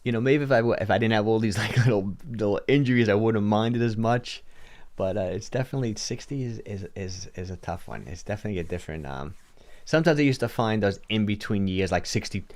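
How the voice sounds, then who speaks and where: A man's voice: fairly low pitch, fast. A man in his late fifties hosting a casual fitness livestream Q&A from a home studio, rambling and restarting his sentences. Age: 50s. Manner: rambling, repeats words and restarts often. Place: home studio with purple lighting. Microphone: studio mic on boom arm.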